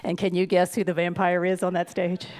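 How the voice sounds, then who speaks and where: A woman's voice: fairly low pitch, monotone, a little fast, darkish tone. A woman hosting a live table read, introducing each actor in an upbeat announcing manner. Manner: upbeat, announcing, with gravelly fry. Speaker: event host introducing the cast. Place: live venue.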